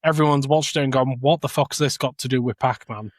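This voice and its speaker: A man's voice: mid-range voice, fairly even intonation, fairly quick, dry recording, studio quality. A man chatting casually as a co-host on a review podcast. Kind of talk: casual chat.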